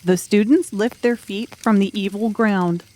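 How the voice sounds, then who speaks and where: A woman's voice: medium pitch, measured pace, darkish tone. Woman narrating a scripted audiobook story.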